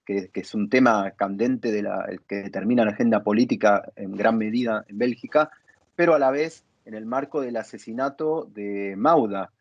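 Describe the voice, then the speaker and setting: A man's voice: fairly low pitch, normal speed, no echo. A man interviewing in a panel conversation, posing questions in a hesitant, searching way. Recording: dull, lo-fi sound.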